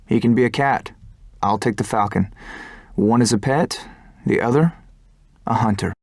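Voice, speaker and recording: A man's voice: medium pitch, normal speed, darkish tone, no room sound, studio quality, natural voice. Earnest young fighter pilot, played by a man. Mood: brooding, resolute. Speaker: fighter pilot protagonist and narrator. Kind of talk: video game cutscene narration and dialogue. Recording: music behind the voice.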